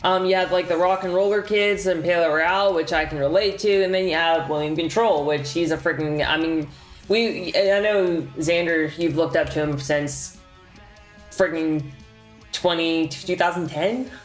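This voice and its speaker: A young man in a band giving a casual year-end update, rambling and excited, full of fillers and slang. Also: on the high side, normal speed. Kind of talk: casual chat. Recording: echoey room with faint music.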